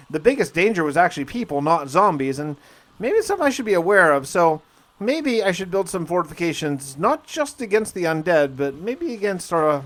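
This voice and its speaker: Higher-pitched man narrating a survival diary. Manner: conversational, musing aloud with rhetorical questions. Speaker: gaming video narrator and player.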